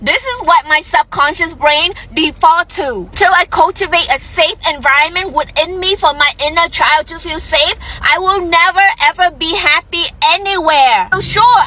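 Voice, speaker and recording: A young woman with a high, bright, sharp-edged voice speaks with intensity. She turns her thoughts inward in a personal clip. Her delivery sounds self-analytical. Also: rough recording.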